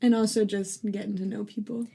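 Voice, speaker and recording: A woman's voice: mid-range voice, flat delivery, brisk, vocal fry, dark tone, breathy edge. A woman around twenty, a student co-hosting a podcast, talking in a casual, chatty way and often saying "like". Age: 20s. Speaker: podcast co-host, neuroscience student. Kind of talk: casual chat.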